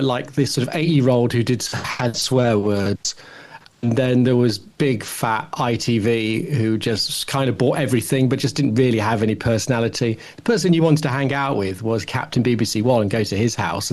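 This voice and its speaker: British male, middle-aged, podcast co-host, loose rambling conversational delivery with mid pitch. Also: moderate pace, darkish tone. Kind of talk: casual chat about television.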